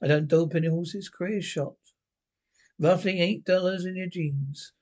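A snarling, defensive little ex-jockey, played by a man in a one-man reading. He is bitter and boastful, and his voice sits fairly high. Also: a little slow, a little mellow.

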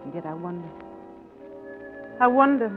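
An actress playing an earnest, anxious Southern aunt in a 1940s radio drama, speaking with dramatic gravity. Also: female voice, on the low side, animated, normal speed, close to an everyday voice. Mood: somber. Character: anxious Southern aunt, grave and reflective narrator. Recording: tinny vintage radio, orchestral music behind.

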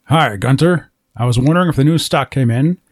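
A man's voice: medium pitch, brisk, no room sound, lightly acted. A man playing a streetwise former criminal turned mentor in an audio drama, dry and commanding.

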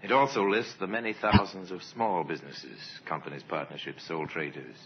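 A grave male narrator of an old radio crime series, with an extremely deep voice. He tells it deliberately and portentously. The recording is dull and clearly noisy. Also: fairly even intonation. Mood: grave, measured.

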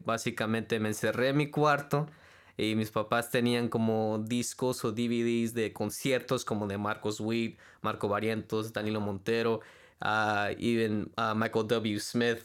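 Smooth-voiced young man, halting speech. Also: medium pitch. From California. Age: 20s. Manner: halting, searching for words with many fillers. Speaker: interview guest, student and church worship leader. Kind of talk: casual interview conversation.

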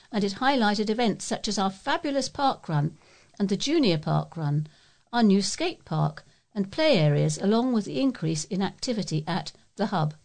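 A woman's voice: medium pitch, a little mellow. A woman reading local news aloud as a volunteer newsreader.

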